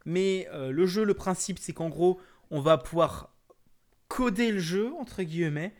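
Slightly high-voiced, jokey gaming co-host. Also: male voice, a little slow. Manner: jokey, crude slang. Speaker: co-host of a video game podcast. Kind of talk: casual gaming chat.

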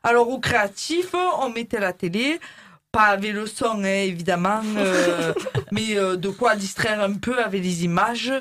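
A woman's voice: mid-range voice, unhurried, fairly bright tone, slight background noise, natural voice. A woman playing a chatty, bawdy beautician in a mock interview, rambling with fillers and cheeky jokes. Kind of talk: comedy sketch, mock interview.

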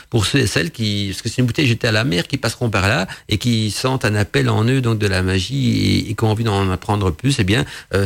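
A man's voice: mid-range voice, vocal fry. A man whose first language is Dutch, hosting a radio talk show and answering a listener's question in hesitant French with many restarts. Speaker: podcast host.

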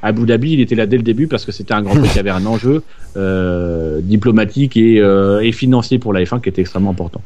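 A man's voice: fairly low pitch, fast talker, warm tone. Hesitant man on a dull lo-fi line. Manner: hesitant, repeats words while searching. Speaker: podcast host. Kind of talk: casual motorsport chat.

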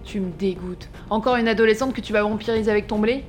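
A woman's voice: mid-range voice, fast talker, soft background music, natural voice. A woman playing a hot-tempered gangster in an audio drama, blunt, cutting and scornful. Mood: scornful, hostile. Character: abrasive, hot-tempered female gangster, harsh and mocking.

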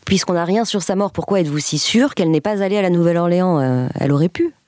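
An actress plays a female historian in a naturalistic drama. She sounds hesitant yet persistent, in a low womanly voice recorded close and dry. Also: quick pace, warm tone, no echo, lightly acted. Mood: hesitant, challenging. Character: persistent, tentative historian challenging a colleague.

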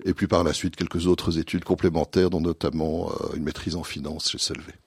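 A man being interviewed as a company chief executive, answering in a measured way with frequent hesitations. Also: sits low, fairly even intonation, husky, dry recording. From born in USA, raised partly in Belgium. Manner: measured, many "euh" hesitations.

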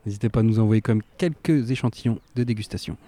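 A young man co-hosting a casual radio chat, laid-back and quick to laugh. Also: on the low side, dark tone. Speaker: co-host.

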